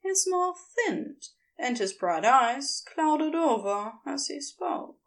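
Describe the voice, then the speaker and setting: A woman reads fiction as an audiobook narrator. Her voice sits a little high, with noticeable fry. She takes her time and varies her pitch expressively. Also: big pitch swings, slow pace.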